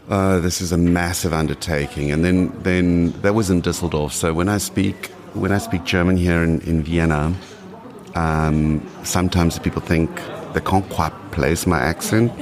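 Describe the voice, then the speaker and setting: A man in his late forties from South Africa with a South African accent, rambling and restarting as he chats in an interview. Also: sits low. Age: 40s. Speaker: guest. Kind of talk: casual interview.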